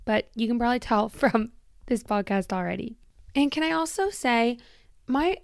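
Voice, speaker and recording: A woman chats on her solo podcast in a smooth, clear voice recorded close and dry. Her talk is candid and rambling, full of "like" and restarts. She sounds a little tired while getting over a long illness. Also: mid-range voice, dry recording. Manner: chatty and candid, heavy use of "like", self-interrupting. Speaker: podcast host. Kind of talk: casual solo podcast chat.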